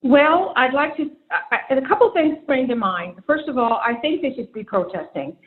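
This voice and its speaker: A woman's voice: high voice, animated, normal speed. Earnest woman over a tinny line. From Seattle. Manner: earnest, outspoken. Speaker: panel guest. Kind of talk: public affairs panel talk. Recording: tinny phone-like remote line.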